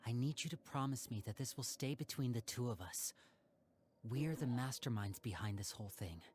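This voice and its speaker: A man voicing a calm, protective young magician who acts as an older brother. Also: mid-range voice, moderate pace, a little hiss or hum, natural voice. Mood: determined. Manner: calm, gentle and earnest. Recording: game cutscene audio with background music. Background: game music.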